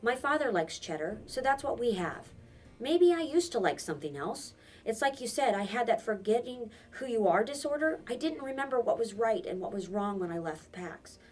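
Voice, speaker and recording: Woman as an earnest, insistent storybook boy, raised pitch. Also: very animated, normal speed, recorded from a distance, soft background music. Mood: stubborn, insistent. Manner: insistent, emphatic. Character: earnest, stubborn, urgent boy on crutches.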